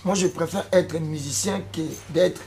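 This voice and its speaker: A man's voice: a little high, fairly even intonation, moderate pace, a crowd in the background. A young man from the Congo with a Congolese French accent, a musician answering interview questions in a casual, hesitant way, slipping between French and Lingala. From Democratic Republic of the Congo. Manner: hesitant, with frequent fillers and repeated words. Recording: light background noise.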